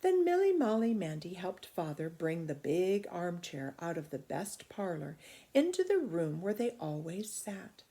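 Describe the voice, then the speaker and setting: A woman reading a children's story aloud in expressive narration. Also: on the low side, a little slow.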